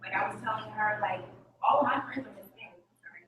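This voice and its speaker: A woman from the audience sharing her experience in a hesitant, soft way. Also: mid-range voice, fairly quick, a little hiss or hum. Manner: hesitant, soft, partly whispered. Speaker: audience member. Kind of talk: casual personal sharing. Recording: distant, echoey.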